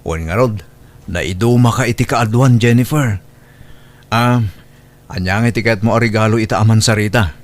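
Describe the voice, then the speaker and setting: A man's voice: on the low side, moderate pace, pauses often, lightly acted. A man playing an apologetic young boyfriend with an Ilocano accent in an audio drama. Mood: coaxing. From Philippines. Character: apologetic, coaxing boyfriend, eager to please. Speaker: voice actor in comedy drama.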